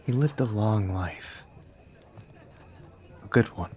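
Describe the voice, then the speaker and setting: A young man acts out an adopted son mourning the chief who raised him, in an audio drama. He talks quietly and earnestly. His voice sits on the low side. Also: slow pace, breathy edge. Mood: somber. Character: young male warrior, adopted son, grieving.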